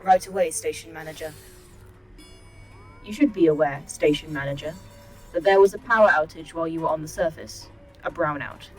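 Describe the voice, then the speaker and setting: A woman playing a formal, polite artificial intelligence in an audio drama, measured and precise. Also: low voice, faint music behind, natural voice, poor audio. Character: calm, formal, superior artificial intelligence computer. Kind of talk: audio fiction podcast acting.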